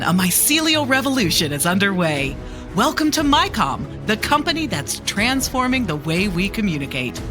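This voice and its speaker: Salesy fantasy commercial announcer played by a woman, animated scripted read, polished, with music clearly playing behind. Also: medium pitch, close to an everyday voice. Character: polished fantasy radio commercial announcer selling magical technology. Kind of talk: scripted advertisement narration. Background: prominent music bed.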